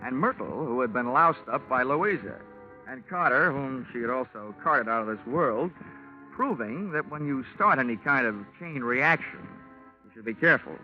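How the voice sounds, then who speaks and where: An actor playing a wisecracking, weary insurance investigator who narrates his own case in a dry, sardonic tone, in a 1940s radio drama. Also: mid-range voice. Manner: wry, sardonic storytelling. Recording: dull old radio broadcast with music.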